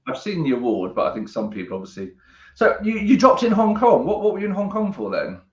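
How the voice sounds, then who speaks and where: A man's voice: sits high, wildly swooping pitch, fast talker, reverberant, lo-fi sound. A man hosting an interview, asking rambling questions that he restarts along the way. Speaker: podcast host. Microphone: video call.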